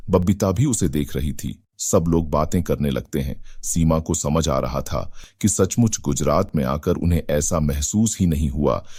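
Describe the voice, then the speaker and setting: A man's voice: very deep voice, warm tone, slightly rough, dry recording, clean studio sound. A man narrating a scripted moral family story as a storyteller.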